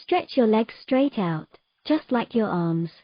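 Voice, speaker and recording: A woman playing a patient, gently commanding mermaid in a romantic fantasy audio drama, giving measured, encouraging instructions. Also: on the high side, expressive, no room sound, muffled recording. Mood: coaxing.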